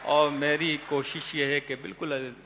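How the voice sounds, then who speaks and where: A man, a poet on stage, sings his verses in a melodic recital at a poetry gathering, with quick spoken remarks to the audience between the verses. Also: fairly high pitch, little pitch movement, noisy background. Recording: long hall reverb with faint music.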